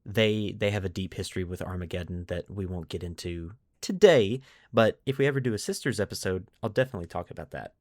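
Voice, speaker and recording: A man's voice: low voice, fast, few pauses, dry recording, studio quality. A man hosting a podcast, explaining lore to friends in an informal, enthusiastic way. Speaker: podcast host.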